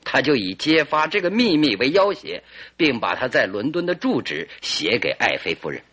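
Confident male detective, old radio play. Bright, slightly rough voice, leisurely theatrical deductions. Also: mid-range voice, very animated, moderate pace, heavily acted. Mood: animated narrating. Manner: theatrical, leisurely deductive storytelling with rhetorical questions. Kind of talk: old-fashioned Mandarin radio drama acting.

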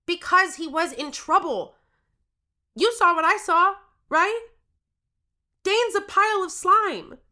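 Woman playing an earnest, bright-voiced teenage girl. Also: extremely high pitch, animated, slow, pauses often, exaggerated voice. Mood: alarmed, pleading. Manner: earnest, urgent, dramatic. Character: earnest, determined 13-year-old girl telling her story. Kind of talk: first-person audio drama narration and acting.

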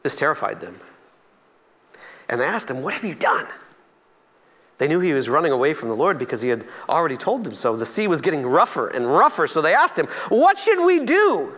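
A man's voice: medium pitch, big pitch swings, fast, fairly bright tone. A man preaching as a pastor in a conversational storytelling style, acting out dialogue and repeating phrases for emphasis.

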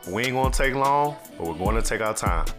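A man co-hosting a podcast, telling stories in animated, slang-heavy casual banter. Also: medium pitch, quick pace, music in the background. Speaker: podcast co-host.

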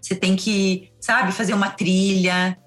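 A woman's voice: medium pitch, little pitch movement, measured pace, fairly bright tone, soft background music. Earnest woman giving travel tips. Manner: earnest, enthusiastic, frequent "sabe?" tag. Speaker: guest. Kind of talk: casual interview talk.

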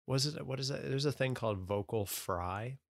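A man co-hosting a podcast in casual chat, a little hoarse, joking and swearing freely. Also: mid-range voice, normal speed, no echo, clean studio sound. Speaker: podcast co-host.

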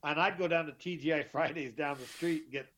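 A middle-aged man with a deeper voice, telling his story as an interview guest, emphatic and often repeating himself. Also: a little fast, fairly bright tone, slight background noise. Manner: emphatic, repeats and restarts words. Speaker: guest, author. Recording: dull lo-fi sound.

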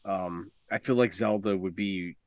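A man's voice: deep voice, steady tone, a little slow, a little mellow, dry recording. A man co-hosting a podcast, chatting casually and giving blunt opinions with plenty of swearing. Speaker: podcast co-host. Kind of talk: casual opinionated chat about games and films.

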